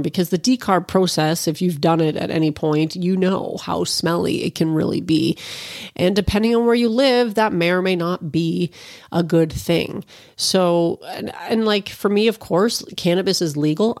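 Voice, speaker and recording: A woman's voice: fairly low pitch, a little mellow, no echo, clean studio sound. Stuffy-nosed woman chatting to viewers. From Canada. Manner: rambling, informal, with fillers and asides. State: stuffy nose from allergies. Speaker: cannabis cooking vlogger. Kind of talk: casual chatty monologue to viewers.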